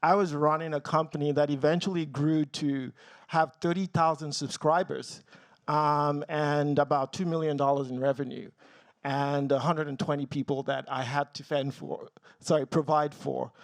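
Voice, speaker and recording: A man in his forties from Nigeria, telling his career story on a panel, earnest, with many pauses and ums, getting a little emotional. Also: a little high, fairly even intonation, no room sound. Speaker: tech team leader on a panel.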